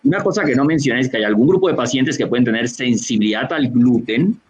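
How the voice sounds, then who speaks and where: A gastroenterologist from Colombia with a Barranquilla accent, explaining a medical answer over a video call, with frequent fillers and restarts. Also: male voice, mid-range voice, expressive, fast, breathy edge. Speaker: gastroenterologist lecturer. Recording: dull lo-fi video call audio.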